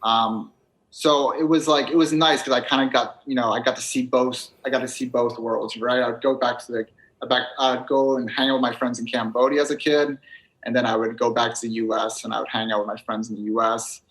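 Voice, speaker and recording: A young American man as a podcast guest, talking casually and rambling with lots of fillers in quick bursts. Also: medium pitch, little pitch movement, a bit bright, rough recording. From United States (New Hampshire, Maine). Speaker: guest vlogger. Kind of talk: casual interview chat.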